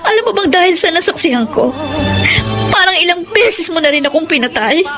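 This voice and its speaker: A woman's voice: extremely high pitch, animated, fairly quick. An actress playing a heartbroken, suspicious woman in an emotional Filipino romantic radio drama. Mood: anguished. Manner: emotional, wounded, dramatic delivery. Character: Melody, heartbroken suspicious woman, betrayed by her lover. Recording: studio drama with music underneath. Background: background music.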